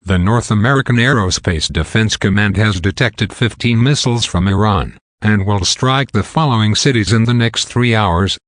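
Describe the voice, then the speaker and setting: Low-pitched man reading a government warning. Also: normal speed, fairly bright tone, no echo, clean studio sound. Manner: formal, matter-of-fact official delivery. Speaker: emergency alert announcer. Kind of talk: scripted government warning message.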